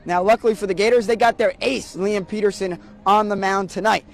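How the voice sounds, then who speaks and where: High-voiced young man calling sports. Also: steady tone, brisk, vocal fry, a little hiss or hum. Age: young adult. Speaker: play-by-play announcer and sports reporter. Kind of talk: sports broadcast commentary.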